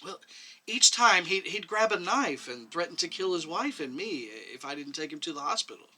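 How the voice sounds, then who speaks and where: Meek, defensive female medic, acted by a male reader: slightly high, bright, quiet, hesitant, plain-spoken, for a story reading. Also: expressive, normal speed, heavily acted. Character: meek, defensive woman medic under questioning. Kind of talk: dramatic short story reading.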